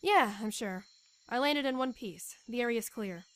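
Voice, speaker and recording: A woman's voice: on the high side, lively intonation, a little slow, a bit bright. A woman playing a feisty young rookie soldier in a radio drama, reporting in a wry, clipped, gutsy manner. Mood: wry, confident. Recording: radio drama with music behind.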